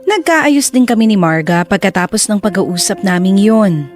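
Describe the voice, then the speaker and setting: An actress playing a reflective, remorseful lover who narrates a love story in the first person. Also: female voice, mid-range voice, expressive, moderate pace, soft background music, lightly acted.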